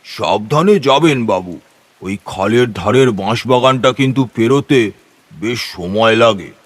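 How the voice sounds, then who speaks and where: A man's voice: fairly low pitch, animated, measured pace. A man playing a wary old railway station master in an audio horror story, emphatic and admonishing. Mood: grave caution. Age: older.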